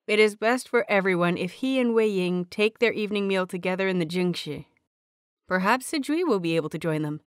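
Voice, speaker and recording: A woman's voice: mid-range voice, dry recording, studio quality. A woman reading a scripted fan fiction story aloud as its narrator.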